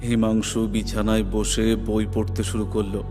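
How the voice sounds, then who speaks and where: A man's voice: on the low side, monotone, measured pace. A man narrating a scripted horror story in a suspenseful, dramatic way. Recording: narration over music. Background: music.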